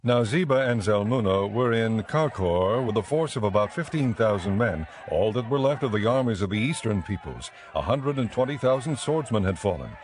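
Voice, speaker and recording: A man narrating scripture as a scripted audiobook in a measured, solemn manner. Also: lowish voice, animated, slight background noise.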